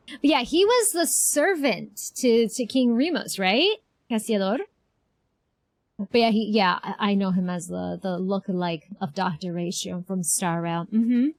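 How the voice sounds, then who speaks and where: A woman's voice: medium pitch, no room sound, clean studio sound. A woman streaming a game, chatting casually and expressively with viewers in an informal, slangy way. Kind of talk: casual livestream reaction chat.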